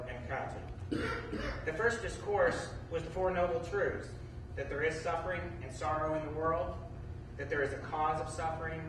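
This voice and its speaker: A man giving a prepared spoken personal narrative in a measured, declarative way, phrase by phrase. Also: on the high side. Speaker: speaker telling a personal faith story. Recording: echoey room, distant mic, clear background noise.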